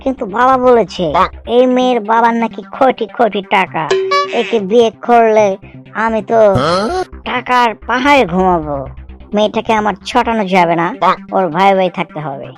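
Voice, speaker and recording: A man voicing a high-pitched flashy young suitor. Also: normal speed, faint music behind. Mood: scheming. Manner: showy, mixes in English words. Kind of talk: amateur cartoon comedy dialogue.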